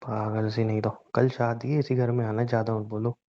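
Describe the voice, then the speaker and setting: A man's voice: on the low side, level tone, moderate pace, mellow, close to an everyday voice. A man playing a teasing, romantic young groom-to-be who fusses and banters playfully in an intimate phone-call drama. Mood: teasing, mock-scolding. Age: young adult.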